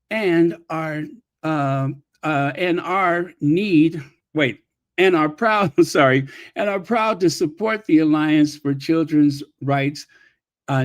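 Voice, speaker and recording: Slow-talking man on a royal-news channel. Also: fairly high pitch. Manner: rambling, stops to correct himself. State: losing his voice. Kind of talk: casual commentary and reading aloud.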